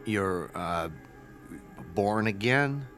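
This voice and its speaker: A man playing a nervous, ingratiating school guidance counselor in his twenties in an audio drama, speaking haltingly. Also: lowish voice, lively intonation, fairly bright tone, soft background music, heavily acted. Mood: nervous probing. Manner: hesitant, ingratiating, halting with ums.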